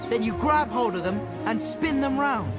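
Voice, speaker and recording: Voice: medium pitch, animated, normal speed, over loud music, lightly acted. An actor playing a cheeky, confident teenage boy wizard with a British accent in a video game. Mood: instructing.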